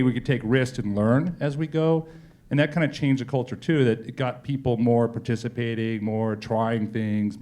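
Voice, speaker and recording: A man's voice: mid-range voice, darkish tone. A man speaking as a company executive in a casual on-stage interview, telling colloquial stories with frequent asides.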